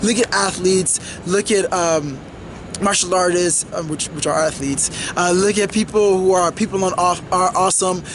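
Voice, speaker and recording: Young man, high voice, earnest motivational vlog, ums and restarts, faint traffic rumble behind. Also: fairly even intonation, normal speed. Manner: earnest, many fillers and restarts. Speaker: vlogger. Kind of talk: motivational monologue to camera.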